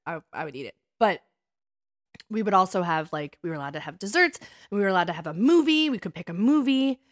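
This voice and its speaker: A woman in her thirties casually telling personal stories as a podcast co-host, animated and emphatic, repeating phrases for effect. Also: mid-range voice, fast talker, dry recording, studio quality. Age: 30s.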